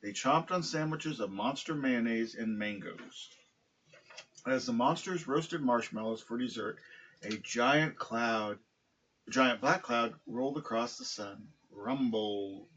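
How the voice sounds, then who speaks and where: A man with a husky voice reading a children's picture book aloud. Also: mid-range voice, slow pace, a bit bright, highs cut off, slight background noise. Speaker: storybook reader, dog owner.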